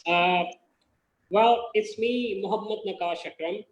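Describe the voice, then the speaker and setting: High-voiced man from Pakistan, echoey. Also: measured pace, muffled recording, a little hiss or hum. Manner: hesitant, many fillers. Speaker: English teacher. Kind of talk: self-introduction on a video call. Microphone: video call. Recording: echoey room on a call.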